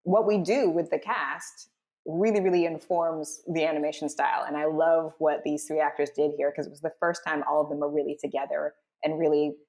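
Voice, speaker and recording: A woman's voice: deep voice, little pitch movement, fairly quick, a little mellow. A woman hosting as a voice director, explaining scenes and reading out stage directions. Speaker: host and voice director.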